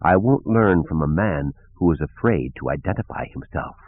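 A man's voice: sits low, expressive, normal speed, no echo. A man voicing a reckless, eager, defiant young male apprentice with a bold manner, in an audiobook. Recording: narrow phone-like band.

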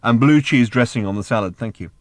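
A man voicing a brash, fast-talking roguish space traveller in an audiobook, breezy, bossy and sardonic. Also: mid-range voice, no room sound, lightly acted. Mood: bossy, exasperated. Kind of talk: acted audiobook dialogue.

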